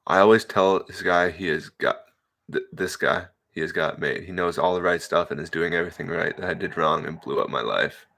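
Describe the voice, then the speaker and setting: A young man in his twenties with a deep, low voice talks loosely to his livestream chat. He rambles in a laid-back way, reads comments aloud, and laughs easily between thoughts. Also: a little fast, vocal fry, a bit bright. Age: 20s. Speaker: livestream host reading chat. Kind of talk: casual solo livestream chat.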